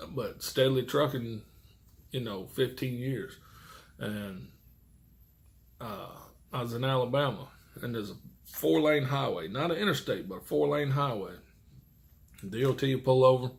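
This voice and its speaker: Gravelly middle-aged trucker, folksy. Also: male voice, mid-range voice. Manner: folksy, rambling, mild swearing. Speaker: truck driver and vlogger. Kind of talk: casual chat to camera.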